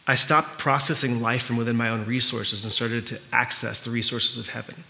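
Bright-voiced man preaching, native Spanish speaker. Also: medium pitch, fairly even intonation, gravelly. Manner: earnest, informal, halting with pauses and repeats. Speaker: pastor preaching. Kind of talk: conversational sermon. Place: church hall with stage, indoors, before a congregation.

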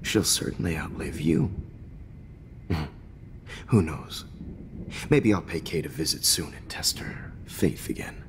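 An American man acting a smug, menacing, pretentious photography teacher turned captor in a video game, speaking with deliberate dramatic pauses. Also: very deep voice, sing-song, full character voice. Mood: gloating. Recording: long echoey reverb, faint hiss.

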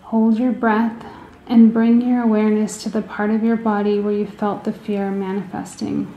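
A woman leading a guided meditation in a soothing, gentle way, with deliberate phrasing and pauses, in a roomy, echoing recording. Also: medium pitch, level tone, muffled recording, a little hiss or hum.

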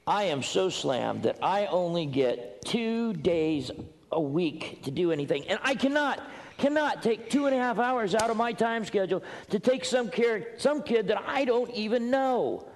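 A man's voice: a little high, animated, unhurried, gravelly, a little mellow. A man acting an exasperated, overworked friend with a sarcastic, emphatic way of talking in a comic drama, in a reverberant hall. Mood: frustrated, protesting. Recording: reverberant hall with background hiss.

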